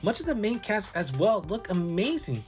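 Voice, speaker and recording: A man delivers a scripted game review with an enthusiastic, very animated voice that rises and falls freely. He speaks as a reviewer presenting to viewers, with music playing behind him. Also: high voice, moderate pace. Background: background music.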